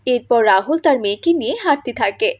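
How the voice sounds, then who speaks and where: A woman's voice: fairly high pitch, expressive, fast talker. A woman reading scripted narration for a cartoon moral story.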